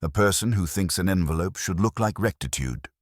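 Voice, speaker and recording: A man voicing a courteous, observant police inspector in a mystery story, polite, deliberate and drily ironic. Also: sits low, expressive, no echo, clean studio sound, pitched above the performer's own voice. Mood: drily reflective.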